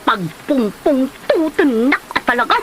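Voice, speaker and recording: A woman's voice: high voice, big pitch swings, unhurried, noisy background. A woman playing a hot-tempered, resentful character spoiling for a fight in a comedy drama, scolding indignantly in an Ilocano accent. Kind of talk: colloquial comedy drama acting.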